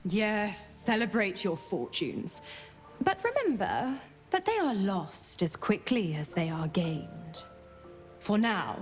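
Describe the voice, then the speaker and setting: An actress playing a smug, menacing devil dealmaker in a video game, taunting and theatrical. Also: female voice, medium pitch. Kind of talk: scripted video game dialogue.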